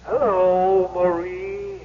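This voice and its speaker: An actor playing a sly con artist who poses as a spirit in a 1970s radio drama, with a deliberate, drawn-out delivery. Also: male voice, high voice, monotone. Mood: solemn, ghostly. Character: sly male con-artist sidekick posing as dead husband's spirit. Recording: muffled, reverberant old radio broadcast.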